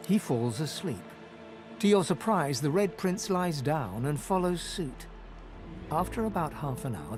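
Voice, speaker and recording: A man delivering scripted, dramatic storybook narration for a fantasy video game. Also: on the high side.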